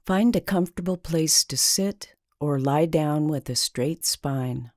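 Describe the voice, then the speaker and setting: Slow, low, mellow woman guiding meditation. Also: no room sound, clean studio sound. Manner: slow, calming, deliberate with long pauses. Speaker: meditation guide. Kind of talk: guided meditation narration.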